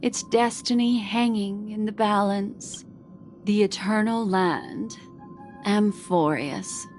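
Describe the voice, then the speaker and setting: A woman's voice: medium pitch, takes it slowly, a little mellow, close to an everyday voice. A woman voicing an elegant, mysterious memory keeper in a game, coy, teasing and theatrical, with music underneath. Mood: mystical, solemn. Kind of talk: game voice acting. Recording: background music under the dialogue.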